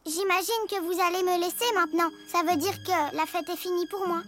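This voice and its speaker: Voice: extremely high pitch, fairly even intonation, a little fast, a bit bright, a little hiss or hum. An actor voicing a young child in a French children's superhero cartoon, with music behind the voice. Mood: wistful.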